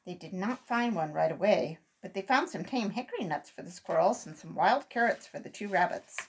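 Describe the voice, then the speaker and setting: A woman's voice: on the low side, lively intonation, fairly quick, slightly rough. An older woman reading a children's story aloud expressively. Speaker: volunteer children's story reader. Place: small room at home.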